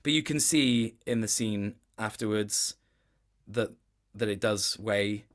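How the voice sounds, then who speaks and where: A man's voice: on the low side, takes it slowly. Thoughtful man in a casual interview. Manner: thoughtful, hesitant, circling back to rephrase. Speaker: voice actor being interviewed.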